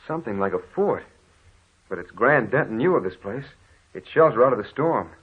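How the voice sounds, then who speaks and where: An actor playing a worried, soothing brother who tries to keep calm, in earnest 1930s radio drama style. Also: male voice, mid-range voice, brisk, husky. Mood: reassuring. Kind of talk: 1930s radio horror acting. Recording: dull, noisy old radio transcription.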